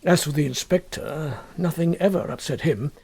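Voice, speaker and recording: A man reading a first-person story as an audiobook narrator, expressive, measured and deliberate. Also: on the high side, vocal fry, breathy edge.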